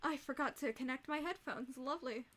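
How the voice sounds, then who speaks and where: A young woman livestreaming with a rough, hoarse voice, chatting casually and bluntly, swearing freely. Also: sits high, a little fast, fairly bright tone.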